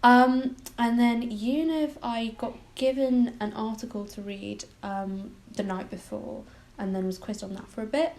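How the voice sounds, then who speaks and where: A woman's voice: on the high side, fairly even intonation, unhurried, few pauses, a little mellow. A young woman of about twenty, a medical student, chatting about her interviews with frequent likes and ums. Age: around 20. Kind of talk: casual interview answers.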